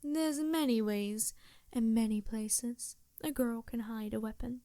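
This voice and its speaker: A woman's voice: a little high, fairly even intonation, a little slow, warm tone, dry recording. A woman playing a vain, seductive succubus demon in an ASMR roleplay, languid, teasing and theatrical. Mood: smug, playful. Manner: languid, flirtatious, theatrical, sighing. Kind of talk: ASMR roleplay, improvised character acting.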